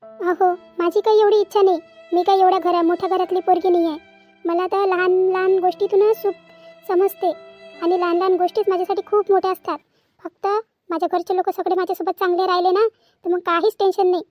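Woman voicing a very high-pitched humble young wife. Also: level tone, brisk, a little mellow, faint music behind. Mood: tender, modest. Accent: Varhadi Marathi. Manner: hesitant, modest. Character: humble, gentle young wife, modest and anxious. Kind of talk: cartoon family drama dialogue.

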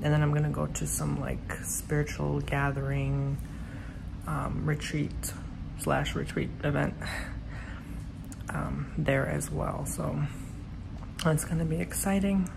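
A chatty man in his thirties rambles through a casual home vlog, leaning on "like" as he goes. His voice has a gravelly, fry-tinged edge. It is recorded on a handheld phone with a clear layer of background noise underneath. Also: fairly high pitch, fairly even intonation, slow pace, pauses often. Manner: chatty, rambling, heavy use of "like". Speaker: vlogger. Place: home, indoors. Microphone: handheld phone camera.

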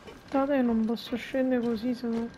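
A woman's voice: a little high, little pitch movement, a little fast, highs cut off, noisy background. A woman giving casual, informal gameplay commentary as a YouTube host, with muttered reactions and exclamations. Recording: game music behind the voice.

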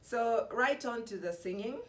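A middle-aged woman from Kenya giving a soft-spoken, halting devotional introduction before singing a hymn. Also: fairly high pitch. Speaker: gospel singer. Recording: voice a bit far from the mic.

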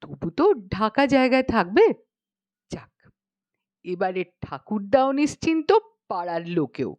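A woman narrating a humorous short story as an audiobook, expressively, with measured dramatic pauses between phrases. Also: mid-range voice, very animated, a little mellow, no room sound.

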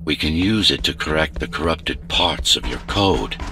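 A man playing a calculating, commanding architect who guides a warrior in a video game, speaking in a measured, authoritative, instructive way. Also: extremely low pitch, expressive, far from the mic, heavy background noise. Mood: calm, instructive. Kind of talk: scripted game dialogue.

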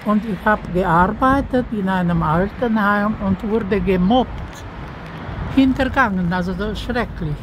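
An older woman giving a spontaneous, prayerful personal testimony of faith, haltingly, searching for words as she goes. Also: mid-range voice. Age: older, over 63. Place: outdoors by an apartment entrance on a street. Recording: outdoor street noise.

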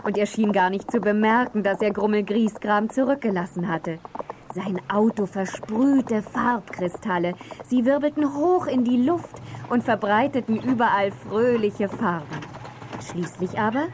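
A woman's voice: medium pitch, unhurried, darkish tone, a little hiss or hum, close to an everyday voice. A woman narrating a scripted children's story.

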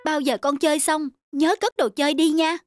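A woman's voice: sits high, steady tone, normal speed, faint music behind, close to an everyday voice. Woman playing a caring young cartoon mother. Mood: affectionate. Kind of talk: cartoon dialogue.

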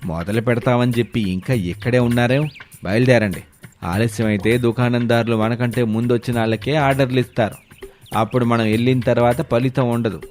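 A man voicing an older, teasing father-in-law who questions and chides in an animated moral story. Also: mid-range voice, a little fast, soft background music, natural voice. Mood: chiding.

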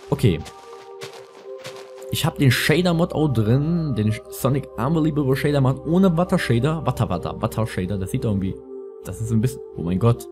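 A young man with a lisp giving casual, rambling, slangy gameplay commentary as a let's player. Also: mid-range voice. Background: music.

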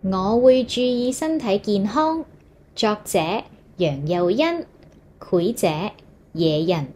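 A woman's voice: mid-range voice, big pitch swings, slow, warm tone, a little hiss or hum. A woman with a Hong Kong Cantonese accent reading a children's story aloud expressively, as if to young listeners.

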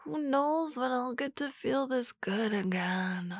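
A woman's voice: high-pitched, lively intonation, takes it slowly, dry recording, studio quality, exaggerated voice. A woman voicing a drunk young female supervisor in an ASMR roleplay, rambling and often dropping to a whisper. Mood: flustered. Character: tipsy young woman boss, flustered, shy crush. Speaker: voice actress.

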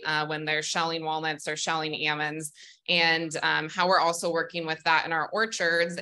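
Bright young Californian woman panelist. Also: low-pitched. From Northern California. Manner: friendly, explanatory, many ums. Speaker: farmer panelist. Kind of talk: conference panel talk. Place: conference room with audience.

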